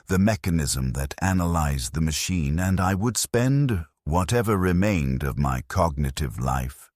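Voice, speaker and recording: A man narrating a first-person story in a measured, grave and detached way. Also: a bass voice, darkish tone, no room sound, studio quality.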